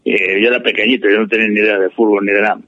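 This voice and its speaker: A man's voice: lowish voice, fairly even intonation, very fast, no echo, highs cut off. A man, a supporters' club president talking bluntly and plainly in a radio interview. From Madrid, Spain. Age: 80s. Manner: blunt, plain-spoken, opinionated.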